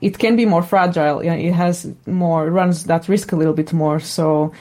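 A woman's voice: on the low side, steady tone, moderate pace, dark tone. A woman in a casual interview, speaking reflectively and tentatively as she searches for her words. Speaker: interview guest, sound designer.

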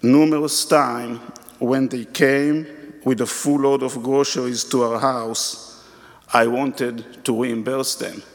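Slow, earnest man in a reverberant church. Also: mid-range voice, fairly even intonation, vocal fry. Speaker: church member giving a eulogy. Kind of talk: prepared memorial tribute. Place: church sanctuary. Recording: reverberant hall.

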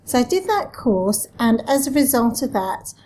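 Woman in her 40s being interviewed, bit high and soft-toned, chatty, jokes at her own expense. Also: big pitch swings, normal speed, slight background noise. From Wales. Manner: chatty, self-deprecating humour. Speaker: guest, communications director. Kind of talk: interview answers. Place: office.

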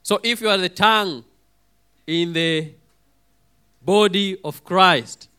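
A man with a hoarse voice preaching a sermon, calling out for amens and acting out little quoted voices as he goes. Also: high voice, takes it slowly. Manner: lively, calls for amens, acts out quoted voices.

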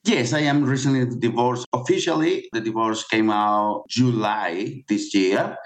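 Man, middle-aged, non-native English, earnest, explanatory, interview guest, mid-range pitch. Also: a little slow. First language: not English. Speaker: guest author. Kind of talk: interview answers.

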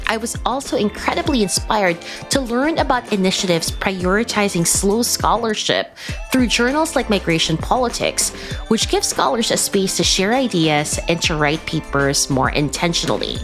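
A woman from the Philippines hosting a podcast interview, enthusiastic and expressive as she introduces her guest. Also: medium pitch. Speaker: podcast host. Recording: music bed behind voice.

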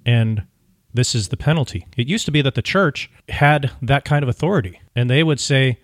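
A man co-hosting a podcast in casual conversation, speaking in halting, searching phrases. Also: medium pitch, no echo, studio quality. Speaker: podcast co-host.

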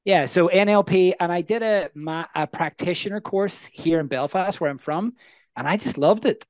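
A man in his thirties with a Northern Irish accent, telling his story as a coaching guest in an earnest, energetic way. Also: high voice, moderate pace, dry recording. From Northern Ireland. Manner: energetic, earnest, asks the listener questions. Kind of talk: casual interview storytelling.

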